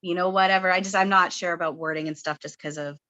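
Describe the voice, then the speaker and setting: Woman, 30s, from Canada, lowish bright voice, earnest and rambling. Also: steady tone, fast, muffled recording. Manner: rambling, filler-heavy, earnest. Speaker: guest channeler. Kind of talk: casual webinar chat.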